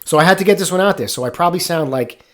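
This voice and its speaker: Congested new guy, middle-aged, kinda high voice, rambling and candid on his podcast. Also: lively intonation, rapid-fire. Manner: rambling, candid, occasional swearing. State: stuffed up from sinus infection. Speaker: podcast host, firefighter. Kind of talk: casual solo podcast talk.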